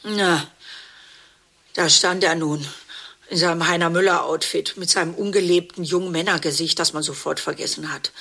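An actress playing a vain, wealthy dentist's wife in a radio play, who gushes sweetly and mutters scornfully. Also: female voice, on the low side, sharp tone. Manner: shifts between sugary gushing and muttered scorn. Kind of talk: radio drama acting.

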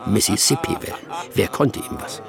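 A man's voice: medium pitch, animated, moderate pace, creaky, a loud crowd. A man narrating a literary radio drama in rhythmic, clipped phrases. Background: faint music.

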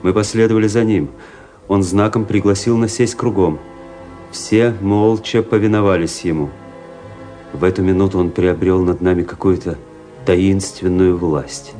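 Deep, grave male narrator over music. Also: fairly even intonation, moderate pace, warm tone, natural voice. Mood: ominous. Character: grave officer recounting a fateful memory. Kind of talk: literary radio play narration.

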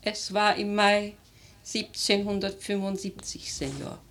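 A woman's voice: mid-range voice, monotone, a little hiss or hum, lightly acted. Woman acting an imperious old aristocratic grandmother. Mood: grave, remembering. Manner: theatrical, measured, lyrical, with emphatic swells and hushed phrases. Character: aristocratic old grandmother, worldly, life-loving, imperious and impatient. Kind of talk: literary German radio drama acting.

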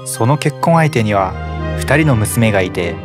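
A man narrating a fairy tale in a calm, even storytelling manner. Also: fairly low pitch, moderate pace. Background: music.

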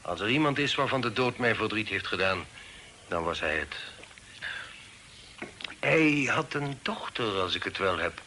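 An actor playing a genial, fatherly older gentleman in a radio drama, speaking formally and courteously. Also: on the low side, lively intonation, unhurried, long pauses. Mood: reflective. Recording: older radio recording, faint noise.

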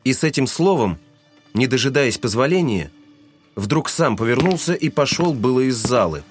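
A man's voice: mid-range voice, lively intonation, moderate pace. A man narrating a literary audio drama in a scripted, dramatic storytelling style. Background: music.

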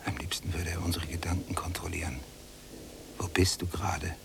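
An actor playing a resentful, long-confined prisoner in a radio play, brooding and deliberate, often hushed and gravelly. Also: male voice, deep voice, level tone, background noise. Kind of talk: literary radio play acting, monologue and dialogue.

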